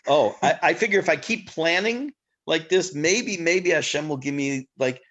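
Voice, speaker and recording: A man's voice: on the high side, moderate pace. Chatty man leading a Torah class. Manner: warm, effusive thanks with many fillers. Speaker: Torah class teacher and host. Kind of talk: casual class talk.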